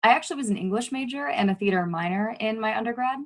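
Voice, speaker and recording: A woman's voice: fairly high pitch, fast talker. A young woman, a graduate student, answering interview questions in a conversational way. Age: young adult.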